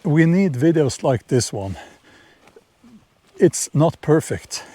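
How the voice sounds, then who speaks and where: Slow, mellow Norwegian-accented man outdoors. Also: a little high, very animated, no room sound. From Norway. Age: middle-aged. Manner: halting, repeats words, chuckles, opinionated. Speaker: vlogger. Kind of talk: casual outdoor vlog monologue. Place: outdoors in nature, near horses.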